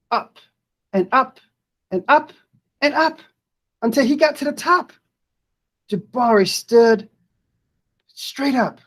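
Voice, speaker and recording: A woman reading a children's storybook aloud expressively and deliberately, pausing to chat to viewers. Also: on the high side.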